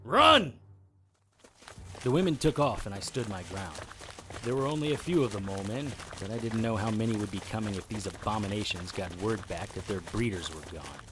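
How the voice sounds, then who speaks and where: A man's voice: fairly low pitch, expressive, normal speed, noisy background, lightly acted. An actor playing a tough, wisecracking monster hunter, narrating his own story in a dry noir style for an audio drama. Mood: gritty, urgent. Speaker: lead actor narrating in first person. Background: music bed under the voice.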